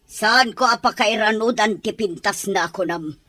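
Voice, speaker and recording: A stern, scheming mother-in-law from an audio drama, played by a woman. She speaks in a measured, mid-pitched voice. Her tone is cold and calculating. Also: sharp tone, dry recording. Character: scheming older mother-in-law plotting against daughter-in-law. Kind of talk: Ilocano radio-style drama.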